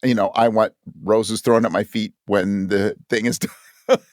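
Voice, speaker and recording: A man's voice: lowish voice, fairly even intonation, measured pace, a little mellow, dry recording, clean studio sound. A man talking casually as an interview guest, candid and self-questioning, often acting out his own thoughts. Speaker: interview guest, audio drama creator. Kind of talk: casual conversation.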